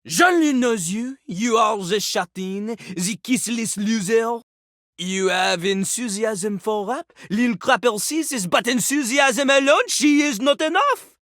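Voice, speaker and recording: Pompous, high-pitched, bright-voiced rapper. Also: male voice, animated, takes it slowly, no echo, clean studio sound, full character voice. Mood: haughty, dismissive. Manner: boastful, third-person grandstanding. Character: pompous theatrical male rapper toilet-man with grandiose swagger. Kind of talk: video game character acting.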